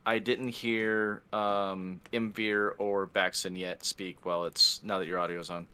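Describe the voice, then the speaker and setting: A man's voice: lowish voice, level tone, takes it slowly, sharp tone, clear, resonant tone. A man helping with a stream's sound check, reporting over voice chat in a matter-of-fact way. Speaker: stream helper watching the chat. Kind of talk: casual chat during a tech check. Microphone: online voice chat.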